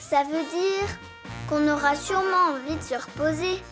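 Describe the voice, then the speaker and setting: A woman's voice: high-pitched, moderate pace, music in the background, close to an everyday voice. A woman voicing an eager, confident, bossy little girl elephant for a children's cartoon. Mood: eager, chirpy.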